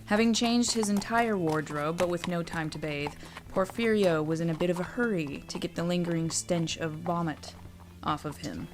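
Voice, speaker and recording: A woman's voice: on the low side, moderate pace. A woman storyteller reading scripted fantasy narration in an audiobook manner.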